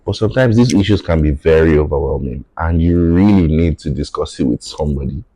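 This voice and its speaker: A very deep-voiced man in his thirties with a Nigerian accent talks earnestly in a podcast conversation. He explains his thinking at length and keeps checking whether the listener follows. Yoruba is his first language. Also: fairly quick, warm tone, dry recording. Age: 30s. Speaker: podcast guest. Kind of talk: reflective conversational podcast talk.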